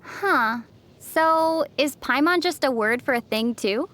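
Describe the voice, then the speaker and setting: A woman playing a gentle young traveler in a video game, speaking in a calm, musing manner. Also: high-pitched, slow, heavily acted. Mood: musing, curious. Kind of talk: video game character acting.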